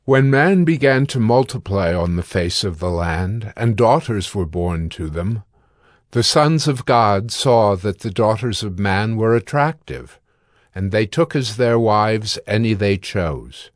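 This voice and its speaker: A man narrating dramatized scripture from a script in a solemn, measured and formal manner. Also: on the low side, lively intonation, no echo, studio quality. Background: music.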